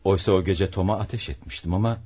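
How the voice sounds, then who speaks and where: An actor playing a weary, warm middle-aged father in a radio play. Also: sits low, steady tone, fast talker, husky. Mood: calm, relieved. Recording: dull, muffled old radio recording.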